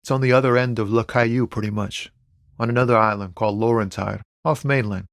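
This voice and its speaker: An actor playing a laid-back, philosophical truck driver and aspiring poet in a video game, talking in a musing, slangy way. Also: male voice, mid-range voice, fairly even intonation, brisk, gravelly, no echo, clean studio sound, natural voice. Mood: easygoing, musing. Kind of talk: conversational video game character dialogue.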